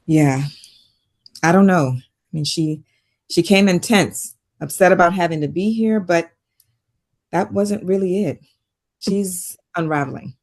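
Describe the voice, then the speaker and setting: Woman playing a tired caring therapist, fairly low voice, steady and earnest, table read drama. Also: measured pace, long pauses. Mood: troubled, reflective. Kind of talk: naturalistic table read acting.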